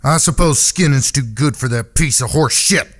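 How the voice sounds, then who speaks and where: An actor playing a rough, plain-spoken outlaw brother in a western audio drama, blunt and folksy. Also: male voice, medium pitch, normal speed, a bit bright, lightly acted. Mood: vengeful.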